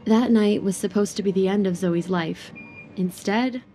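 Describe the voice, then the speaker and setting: A woman's voice: medium pitch, moderate pace, warm tone, faint music behind. A woman narrating a dramatic scripted story as an audio drama narrator.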